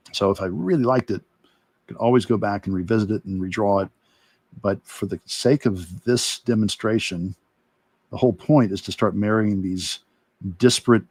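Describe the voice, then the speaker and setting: Male illustrator-instructor, lowish pitch, reflective and halting, casual demo delivery, very dry recording. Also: darkish tone, no echo. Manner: reflective, halting, long rambling answers. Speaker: illustrator and course instructor demonstrating. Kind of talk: casual demo talk while drawing.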